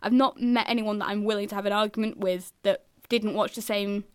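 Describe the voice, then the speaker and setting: A woman's voice: mid-range voice, fairly even intonation, brisk, clean tone, no echo. A young woman in her twenties with a childlike voice, co-hosting a casual student radio chat in a studio, self-deprecating and ranting a little. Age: 20s. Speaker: radio co-host.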